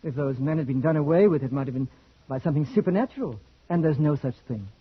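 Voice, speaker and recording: An actor playing a brisk, wry, skeptical but uneasy English husband, husky-voiced, in old-time radio drama style. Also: male voice, a little high, lively intonation. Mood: dismissive, wry. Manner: brisk, wry, well-bred. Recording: dull old radio recording.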